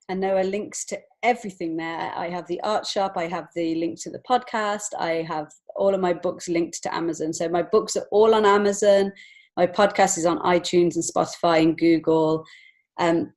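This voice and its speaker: A woman speaking as an interview guest in an earnest, reflective and encouraging way. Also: lowish voice. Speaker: podcast guest, children's author and illustrator. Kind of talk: conversational interview answers.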